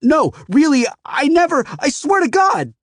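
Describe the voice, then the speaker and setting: A man's voice: very high-pitched, very animated, measured pace, dry recording, studio quality, voice pushed up, exaggerated voice. A man doing a panicky, babbling teenage boy. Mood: panicked. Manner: frantic, babbling apologies.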